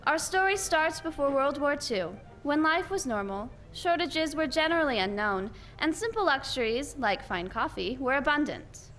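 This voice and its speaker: A woman playing an earnest storyteller, narrating a 1940s-style radio play in a measured, dramatic way. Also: high voice, sing-song, fairly bright tone, soft background music.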